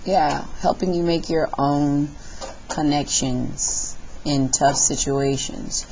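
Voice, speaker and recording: A woman's voice: low-pitched, fairly even intonation, mellow. A woman teacher leading a class discussion, asking questions patiently. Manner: slow, patient questioning of students. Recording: muffled classroom recording with faint hiss.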